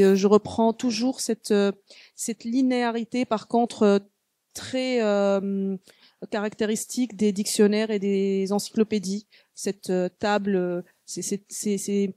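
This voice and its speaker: A woman with a mellow, dark voice speaks slowly and thoughtfully, often pausing and restarting. She is an Arabic speaker talking as a guest artist. The recording is close and dry. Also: medium pitch, no room sound. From Tunisia. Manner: halting, full of hesitations and restarts. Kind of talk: reflective panel talk.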